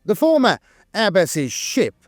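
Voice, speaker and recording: A man reads scripted lore narration in a measured, solemn way, with dramatic emphasis on key phrases. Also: sits high, huge pitch swings, dry recording, clean studio sound, faint music behind.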